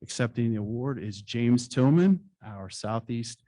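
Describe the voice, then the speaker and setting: A man presenting awards at a conference, reading citations in a formal, measured way with occasional slips. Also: medium pitch, expressive, mellow, no room sound. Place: conference hall stage.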